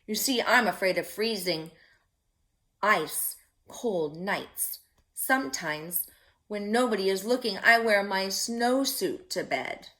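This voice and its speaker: A woman's voice: medium pitch, slow pace, bright, forceful tone. A woman voicing a big, helpful polar bear in a storybook, confiding a fear somewhat hesitantly.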